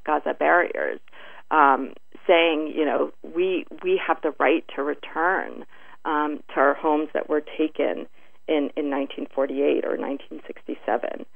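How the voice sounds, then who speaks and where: A middle-aged woman giving earnest interview answers in a halting, deliberate way, searching for her words, over a telephone. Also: low voice, vocal fry. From born in Beirut, Lebanon. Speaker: interview guest, writer. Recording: narrow, tinny phone line.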